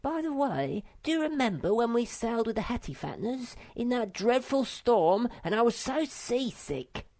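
A woman voicing a small, timid and bossy male creature in a storybook reading, squeaky and fretful. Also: sing-song, moderate pace, few pauses, a little mellow.

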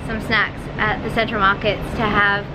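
A woman's voice: medium pitch, flat delivery, normal speed, noisy background. A young woman in her twenties chatting casually to a handheld camera, with plenty of likes and ums. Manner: chatty, laughs often, lots of likes and ums. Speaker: vlogger. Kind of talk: casual vlog chat. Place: outdoors in city streets and in a car. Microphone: handheld camera at arm's length. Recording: loud music under the voice.

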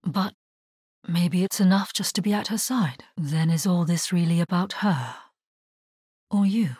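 A resolute female necromancer from a dark fantasy game. Her voice is fairly low and husky with breath in it, and she speaks gravely and with measure. The sound is dry and close. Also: unhurried, no room sound. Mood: quiet, grave. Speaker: video game voice actor. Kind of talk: dramatic scripted game dialogue.

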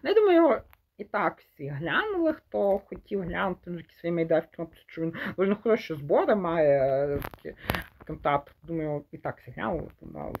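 A man's voice: fairly high pitch, sing-song, gravelly, slight background noise. Young male reviewer, informal and unscripted, hesitant delivery that trails off and rephrases. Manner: hesitant, halting, trails off and rephrases mid-thought; mixes Ukrainian and Russian words. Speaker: film reviewer. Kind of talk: casual unscripted movie review.

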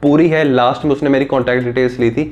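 Young man, quick pace, casual tech vlog delivery, informal direct address, lapel mic. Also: medium pitch, steady tone, a little mellow, dull audio. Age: young adult. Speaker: tech video host. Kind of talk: casual talk to camera. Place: small indoor room. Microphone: clip-on lapel mic.

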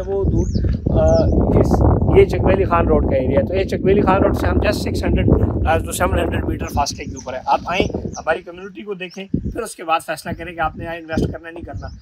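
A man in his thirties with a Pakistani Urdu accent, giving an upbeat sales walkthrough of a farm estate as a property consultant, pointing out the sights as he talks. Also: high-pitched. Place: outdoors on a rooftop at a rural farm estate. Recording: loud outdoor wind and ambient noise.

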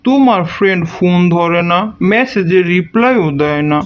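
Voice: medium pitch, animated, moderate pace, natural voice. Performer playing whiny dramatic young cartoon man, Bangladeshi accent, dull lo-fi recording. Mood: lovesick. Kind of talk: Bangla comedy cartoon dubbing. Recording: dull lo-fi voice track.

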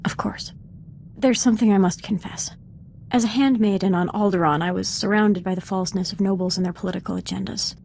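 A woman's voice: medium pitch, steady tone, fairly quick, gravelly, a little mellow, a little hiss or hum, lightly acted. A woman playing a humble, self-doubting young Jedi apprentice in a video game, speaking formally and earnestly. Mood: confessional, hushed.

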